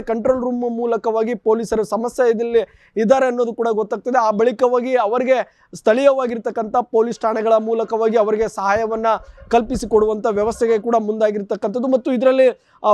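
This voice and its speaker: A man giving an unscripted TV news report in a halting, repetitive way with formal phrasing. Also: extremely high pitch, darkish tone, dry recording.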